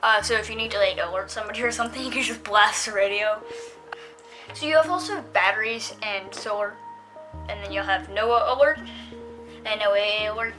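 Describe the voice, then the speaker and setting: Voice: mid-range voice, a little fast, music in the background. A female-sounding reviewer giving a casual, unscripted product demonstration, talking in a hesitant, rambling way.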